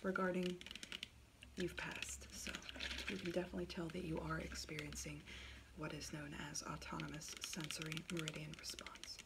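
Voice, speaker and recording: A woman softly whispering an ASMR doctor roleplay in an unhurried, soothing way. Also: low voice, level tone, vocal fry, heavy background noise. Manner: hushed, soft-spoken, unhurried, soothing. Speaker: ASMR video host playing a doctor.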